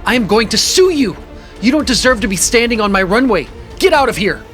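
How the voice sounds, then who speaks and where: A man's voice: very high-pitched, expressive, a little fast, fairly bright tone. Actor as male fashion founder, pompous, hot-tempered, emphatic, audio story drama, light music behind. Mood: furious.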